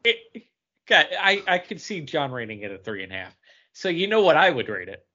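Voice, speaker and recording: A man co-hosting a casual podcast, thinking aloud in a halting, conversational way. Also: on the high side, big pitch swings, bright, forceful tone. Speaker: podcast co-host. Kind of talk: casual chat. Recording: dull lo-fi recording.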